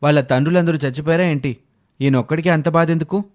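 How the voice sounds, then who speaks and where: A man's voice: fairly high pitch, quick pace, a little mellow, natural voice. A man playing a defiant, callous grown son who argues indignantly with his mother. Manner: argumentative, indignant.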